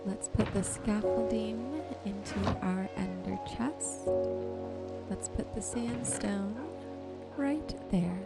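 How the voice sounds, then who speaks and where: A woman softly and gently narrating her gameplay in an ASMR style, musing to herself as she goes. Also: medium pitch, slow, creaky, over loud music. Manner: soft-spoken, gentle musing to herself while sorting. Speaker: gaming video host.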